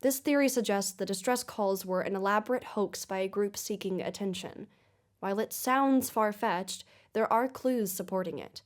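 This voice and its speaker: A composed investigative podcast host played by a woman, speaking at a middle pitch. She is formal and measured, narrating and questioning as in a scripted audio documentary. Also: lightly acted. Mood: measured, serious.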